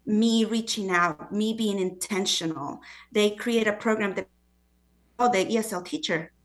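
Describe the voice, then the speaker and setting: A woman with a foreign accent talks as an interview guest and author. Her tone is earnest and conversational. She acts out other people's remarks as she goes, at an ordinary pitch for a woman. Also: unhurried. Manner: earnest, acts out quoted voices.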